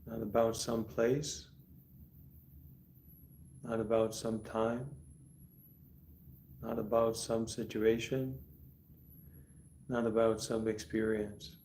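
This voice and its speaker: A man guiding a meditation calmly and deliberately, speaking in short instructive phrases with long pauses between them. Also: fairly low pitch, a little hiss or hum. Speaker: meditation teacher. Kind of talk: guided meditation.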